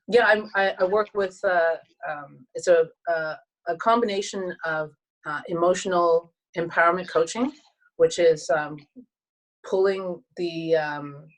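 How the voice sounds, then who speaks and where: A Canadian woman in her thirties with a low voice speaks softly and thoughtfully. She pauses often and restarts her sentences. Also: low-quality recording. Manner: soft-spoken, reflective, many fillers and restarts. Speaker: guest, teacher and coach. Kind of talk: casual conversation.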